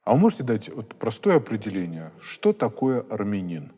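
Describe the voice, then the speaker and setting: A male journalist interviewing a guest, asking his questions haltingly and rephrasing as he goes. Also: on the low side, sing-song, mellow, breathy edge. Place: large stone church. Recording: long church reverb.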